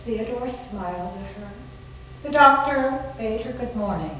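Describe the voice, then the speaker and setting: A woman's voice: a little high, a little slow, fairly bright tone. A woman narrating a scripted story for a live dramatic reading. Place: large library room, live staged reading. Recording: very echoey, distant, noisy room recording.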